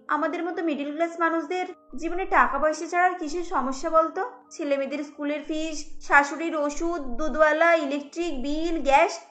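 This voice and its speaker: A woman acts out a harried cartoon housewife with a high, bright voice. She is plaintive and emphatic, a thrifty mother, with music behind her. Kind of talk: cartoon dialogue acting.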